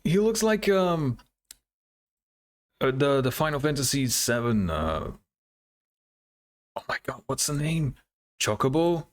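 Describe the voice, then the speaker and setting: A man's voice: medium pitch, very animated, takes it slowly, pauses often. A man hosting a casual reaction video, thinking aloud in hesitant fragments. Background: game trailer music.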